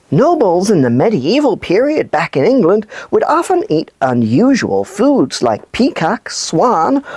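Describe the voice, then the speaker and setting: Slow, husky woman presenting for children. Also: mid-range voice, mellow. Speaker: presenter of a children's puppet show. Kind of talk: scripted storytelling and presenting for children.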